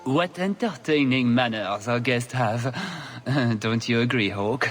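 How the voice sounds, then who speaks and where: An actor playing a courtly noble lord in a fantasy video game, pompous, formal and smugly gracious. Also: male voice, medium pitch, takes it slowly, soft background music. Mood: amused, haughty.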